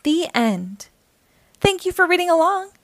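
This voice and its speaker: A woman hosting a children's storytime, reading aloud in an animated, encouraging way and speaking directly to young listeners. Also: very high voice, measured pace, no room sound.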